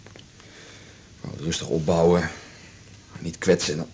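An actor playing an evasive male cello teacher ending an affair, speaking haltingly in a naturalistic radio drama. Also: deep voice, flat delivery, warm tone, slight background noise, close to an everyday voice. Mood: muttering regret.

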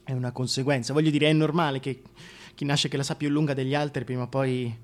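A man's voice: mid-range voice, little pitch movement, fast talker, natural voice. An actor playing a scheming, sadistic dictator king in a theatrical radio monologue, crude, mocking and brooding, addressing the listener directly. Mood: smug musing.

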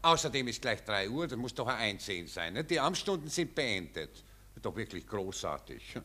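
An actor playing an exasperated, callous army captain, brusque and condescending, in an old radio play. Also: male voice, fairly low pitch, very animated, normal speed, pushed and bright, full character voice. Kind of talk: 1940s radio play acting. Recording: old 1940s radio recording.

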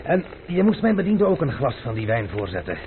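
An actor playing an unruffled, sardonic gentleman who loves his food, in a historical radio play. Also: mid-range voice, very animated, a little fast. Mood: wry. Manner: sardonic, lordly, nonchalant. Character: wry, unruffled gourmand gentleman master. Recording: tinny, band-limited old radio drama with noise.

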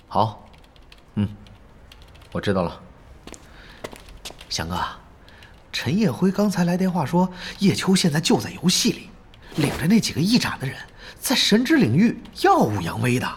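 An actor playing a scheming male guild lackey who flatters his boss in hushed, half-whispered tones in an audio drama. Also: fairly high pitch, moderate pace, long pauses, slight background noise. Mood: fawning.

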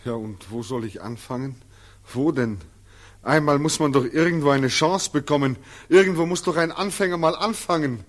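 A man's voice: a little high, moderate pace, close to an everyday voice. An actor playing a bitter, desperate 25-year-old returned soldier in a 1940s radio drama, terse and weary or anguished in his pleading. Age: young adult.

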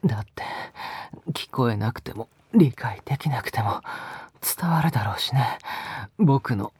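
An actor in an intimate Japanese audio drama, playing a possessive, obsessively doting young male lover who murmurs tenderly and clingily in a breathy, often whispered voice. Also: medium pitch, lively intonation, unhurried, darkish tone, no echo. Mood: obsessive tenderness.

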